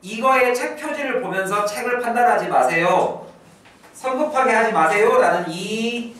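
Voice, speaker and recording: A male English teacher giving an exam prep lecture, repeating key phrases slowly and drilling his students. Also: high voice, a bit bright, very echoey, far from the mic, slight background noise, low-quality recording.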